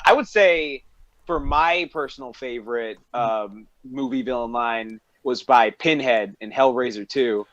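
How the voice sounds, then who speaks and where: A man's voice: medium pitch, unhurried, fairly bright tone, dry recording, muffled recording. A man co-hosting a casual chat, telling stories emphatically and swearing freely. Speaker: podcast co-host.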